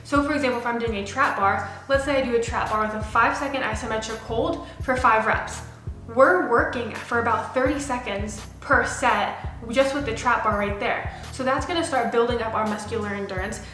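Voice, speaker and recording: A woman's voice: fairly high pitch, a little fast, a bit bright. A woman, explaining fitness training to camera in a casual, direct way as a coach. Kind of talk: explanatory talking-head fitness advice to camera. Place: small bare room indoors with a window. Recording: roomy indoor echo, music underneath. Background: background music.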